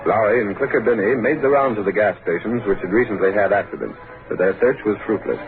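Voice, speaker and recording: A man's voice: on the low side, fast. A man delivering scripted narration as the narrator of an old radio drama. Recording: muffled old radio recording with music.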